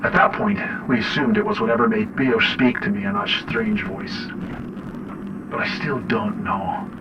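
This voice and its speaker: A man's voice: high voice, gravelly, heavily acted. Man acting as haunted man on the run, confiding and brooding, audio drama diary, distant lo-fi sound. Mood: uneasy, wry. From Ireland. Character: haunted Irish man on the run, wryly recording his nightmares. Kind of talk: first-person audio drama journal narration. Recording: distant, dull lo-fi, heavy noise.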